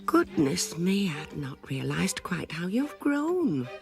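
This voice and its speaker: A woman's voice: on the low side, sing-song, slow pace, gravelly, exaggerated voice. A British woman voicing a fussy, doting mother cat in a children's cartoon, scolding and exclaiming as she goes. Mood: fussing. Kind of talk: animated children's storybook acting. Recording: music behind the voice.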